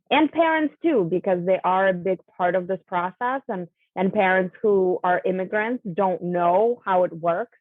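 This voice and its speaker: A woman whose first language is Russian, speaking as a guest in an interview, measured and full of fillers and restarts. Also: fairly low pitch, no echo. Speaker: guest, college admissions coach. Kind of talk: conversational interview. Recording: tinny phone-like line, dry.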